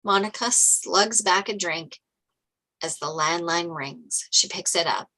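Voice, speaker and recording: Female narrator, screenplay directions, lowish pitch, bright edge. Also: takes it slowly, low-quality recording.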